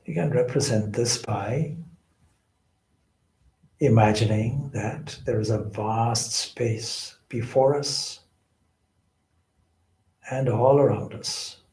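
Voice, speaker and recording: A man gives guided spiritual instruction at a very slow pace. His phrasing is deliberate and measured, broken by pauses. His mid-pitched voice echoes in the room. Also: a little mellow. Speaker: meditation teacher, swami.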